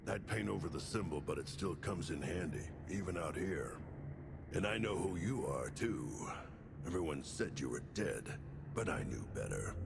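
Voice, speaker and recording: An actor playing a gruff ex-soldier crime boss who speaks in a measured, threatening way, in a video game. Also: male voice, low-pitched. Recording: game audio with steady noise.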